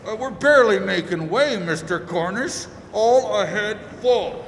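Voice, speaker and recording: A man playing a steady, reassuring steamship captain in a radio drama, giving orders with small hesitations. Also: sits high, measured pace, exaggerated voice. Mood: concerned, commanding. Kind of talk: stage radio drama acting. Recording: echoey hall, clear background noise.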